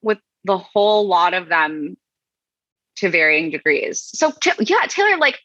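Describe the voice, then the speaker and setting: Woman podcast co-host, bright forceful tone, wry dry delivery, slightly dull recording. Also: fairly low pitch, sing-song, measured pace, no room sound. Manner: wry, dry reading with asides. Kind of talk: casual podcast chat, reading comments aloud.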